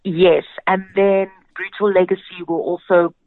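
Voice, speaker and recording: A woman's voice: on the low side, flat delivery, a little slow, no room sound. A South African woman, a theatre director, speaking as a guest in a radio interview. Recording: narrow phone-like sound.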